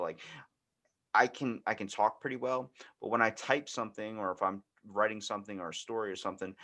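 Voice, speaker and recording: A man's voice: fairly low pitch, pushed and bright. A man hosting a podcast, talking in a casual, informal and rambling way. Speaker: podcast host. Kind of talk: casual chat.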